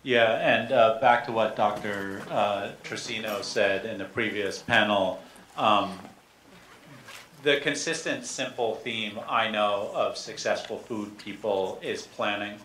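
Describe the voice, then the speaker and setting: A man with a fairly low voice moderates a panel in a conversational way, passing the floor from one speaker to the next. The room is echoey, with faint background noise. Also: a bit bright. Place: lecture room with audience.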